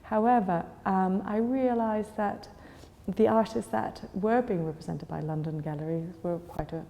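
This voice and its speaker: A woman's voice: medium pitch, a little slow, creaky, warm tone, big-room sound. A woman in her forties from Chile, answering interview questions thoughtfully, with many fillers and restarts. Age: 40s. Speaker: gallery owner.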